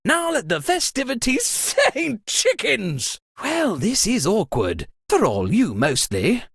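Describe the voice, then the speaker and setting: A fussy, upper-crust party host from a video game, full of pompous theatrical outrage. The pitch leaps wildly from phrase to phrase, and the voice has a husky grain, recorded very dry. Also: female voice, wildly swooping pitch, slow pace, no echo, heavily acted. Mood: flustered, startled. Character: fussy, dramatic upper-class party host, easily scandalized. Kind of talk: theatrical scripted game voice lines.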